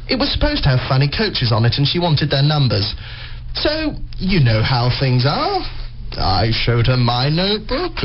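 A British actor playing a posh, bumbling, cheerful accomplice to an amateur burglar in a radio play, with a flustered, hesitant, jaunty manner. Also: male voice, fairly high pitch, heavily acted. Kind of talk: radio comedy-mystery acting. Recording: muffled, clearly noisy radio play recording.

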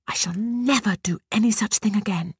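A woman voicing a dutiful, tearful young peasant girl in a storybook, with a high, breathy, pleading voice. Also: unhurried, dry recording, studio quality. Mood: wistful.

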